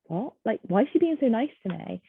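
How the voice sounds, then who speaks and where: Lively breathy woman on a phone-like line. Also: a little high, fast. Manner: animated, acts out dialogue in her stories. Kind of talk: casual conversation. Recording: dry, phone-like sound.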